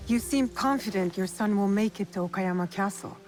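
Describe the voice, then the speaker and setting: A woman voicing a determined heroine who questions lords directly and calmly in game cutscenes. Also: mid-range voice, fairly quick, faint music behind, close to an everyday voice. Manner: direct, probing and calm. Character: cool determined female shinobi heroine. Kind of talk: video game cutscene acting.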